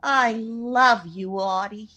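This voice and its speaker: High, bright, swooning sweetheart. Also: female voice, slow, exaggerated voice. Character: lovestruck, jilted, dramatic sweetheart. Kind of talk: old-time radio comedy acting.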